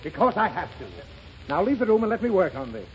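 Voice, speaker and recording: A man's voice: sits high, very animated, fast, a little hiss or hum. An actor playing an impatient, harried father who scolds his child, in 1930s radio comedy acting. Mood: exasperated. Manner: exasperated, scolding. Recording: muffled 1930s radio recording.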